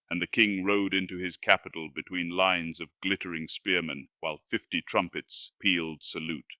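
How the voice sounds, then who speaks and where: A man narrates dramatic fiction in a low voice with a forceful, bright edge. The delivery is grand and measured, like scripted audiobook storytelling, and the sound is very dry and close. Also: no echo.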